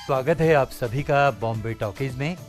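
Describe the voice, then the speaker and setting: A man's voice: medium pitch, moderate pace. A man reading a scripted radio programme introduction as the announcer. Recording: announcement over clear music bed.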